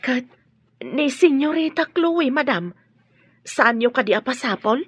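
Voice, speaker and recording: A woman's voice: high-pitched, expressive, a little slow, breathy edge, no room sound, exaggerated voice. A woman playing a nosy, chatty older household helper in an Ilocano radio drama. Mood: prying.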